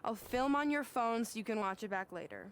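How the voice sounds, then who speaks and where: A woman's voice: a little high, animated, a little fast, a little hiss or hum, lightly acted. A woman voicing a bossy, sarcastic young wrestler turned coach in a video game cutscene, curt and condescending.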